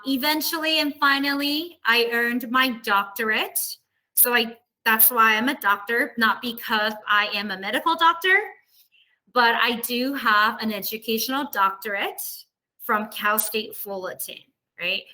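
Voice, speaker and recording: A woman's voice: fairly high pitch, a little slow, pushed and bright, low-quality recording. A woman professor teaching an online class in a casual, conversational way, explaining things and often checking for agreement, heard over a video call. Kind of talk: casual, conversational lesson to students.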